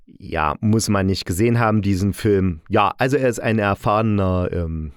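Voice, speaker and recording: A man's voice: lowish voice, normal speed, clear, resonant tone, no echo, studio quality. A man hosting an informal review podcast, chatty and correcting himself as he goes. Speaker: podcast host and audio drama reviewer.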